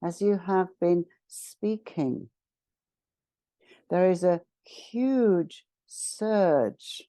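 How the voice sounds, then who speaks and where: A woman leading a spiritual guided meditation in a solemn, ceremonial manner, speaking in short measured phrases with long pauses. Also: on the low side, mellow, no echo. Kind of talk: guided meditation, spiritual invocation and channelled message.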